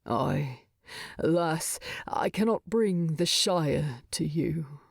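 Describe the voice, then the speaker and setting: A woman voicing a proud, gruff male dwarf king with a deep voice, speaking formally and haltingly with heavy feeling, as in an audiobook. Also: lively intonation, dry recording, clean studio sound, heavily acted. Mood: resigned, pained.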